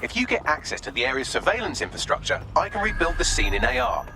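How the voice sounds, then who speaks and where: An actor voicing a wry, sardonic AI assistant, giving crisp briefings in a video game. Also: male voice, a little high, wildly swooping pitch, quick pace, music in the background. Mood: wry, brisk. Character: chipper, sardonic male AI assistant. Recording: loud street and traffic noise.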